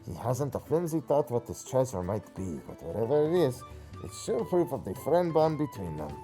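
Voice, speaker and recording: A man's voice: medium pitch, very animated, moderate pace, mellow, faint music behind, pitched above the performer's own voice, natural voice. Man putting on a gossipy moblin creature, muted tone. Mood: eager, insistent. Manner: odd compound words and childlike phrasing. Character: gossipy moblin villager with quaint broken speech. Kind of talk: video game dialogue read aloud in character.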